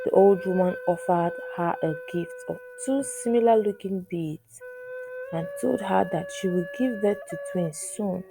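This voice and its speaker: Low-pitched woman narrating a folktale over flute music. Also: poor audio. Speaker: storyteller.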